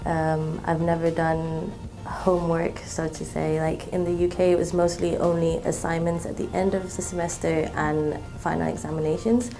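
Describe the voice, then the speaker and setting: A woman in her twenties from Malaysia, a student talking in an interview, relaxed, with many ums and restarts. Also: low-pitched, moderate pace, over music. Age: 20s. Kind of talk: interview testimonial.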